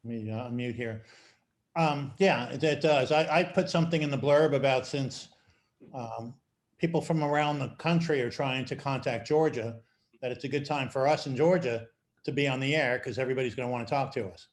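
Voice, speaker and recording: A man with a medium-pitched voice hosts an online club meeting. He speaks casually and conversationally, with halting phrasing, over a video call. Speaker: meeting host. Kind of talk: casual moderating.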